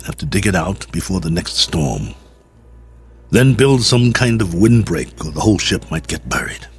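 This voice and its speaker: An actor playing a lonely, wry stranded astronaut colonel, musing aloud in a radio drama monologue. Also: male voice, deep voice, animated, moderate pace. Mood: musing, resigned. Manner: reflective, wry, conversational musing. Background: music.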